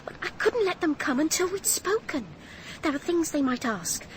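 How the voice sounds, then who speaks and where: An anxious woman in a radio play, pleading for help. Her voice is high, with a little noise behind it. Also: expressive, normal speed. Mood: anxious, urgent. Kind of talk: radio drama acting. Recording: light background noise.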